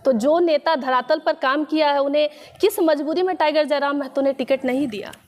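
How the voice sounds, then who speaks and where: High-voiced woman news interviewer. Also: fairly quick, a little mellow. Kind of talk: pointed political interview questioning.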